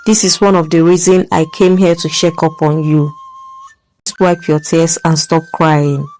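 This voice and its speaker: A woman voicing a caring female friend, speaking softly and reassuringly in a lower voice. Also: little pitch movement, moderate pace, soft background music, natural voice. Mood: soothing. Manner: soft, soothing, reassuring.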